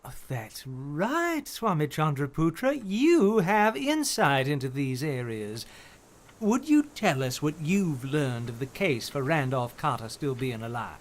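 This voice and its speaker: A man's voice: a little high, very animated, a little slow. A man playing a curious, courteous scholar in a radio play, polite and formally inquisitive. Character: curious, courteous gentleman scholar probing strange mysteries.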